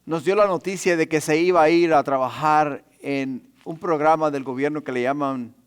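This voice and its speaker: A man's voice: fairly high pitch, moderate pace, clear, resonant tone. A middle-aged man preaching a sermon as a pastor, emphatically repeating phrases and posing rhetorical questions. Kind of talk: sermon in Spanish to a congregation.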